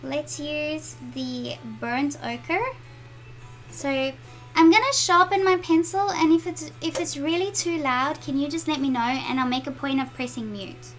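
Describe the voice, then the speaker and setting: Slow-talking South African woman artist. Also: high-pitched, fairly bright tone, soft background music. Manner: chatty, reads viewer comments aloud while drawing. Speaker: art channel host. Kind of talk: live-stream drawing chat with viewers. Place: home art studio.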